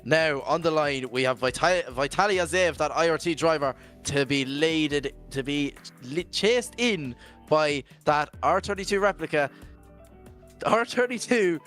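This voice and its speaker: A Scottish man commentating a virtual drift event in a jokey, rambling way, his voice worn and going hoarse. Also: fairly high pitch, unhurried, fairly bright tone, soft background music. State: losing his voice. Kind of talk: live esports commentary.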